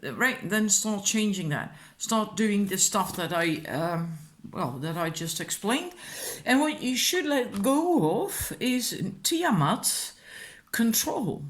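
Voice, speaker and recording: Slow-paced Dutch woman giving casual advice. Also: mid-range voice, sing-song. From Netherlands. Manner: informal, encouraging, rambling with asides. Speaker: tarot card reader. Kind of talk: casual spiritual advice talk.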